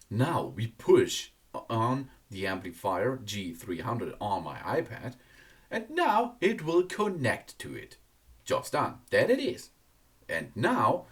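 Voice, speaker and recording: A man who is not a native English speaker gives a casual product review in an enthusiastic but halting way, repeating words. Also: fairly low pitch. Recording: distant microphone.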